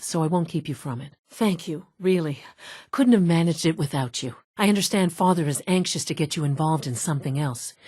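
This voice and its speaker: A woman's voice: fairly low pitch, darkish tone, breathy edge. A woman playing a brusque, dry-witted scientist from an underground institute in a video game, businesslike and wry. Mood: cordial, relieved. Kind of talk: video game character dialogue.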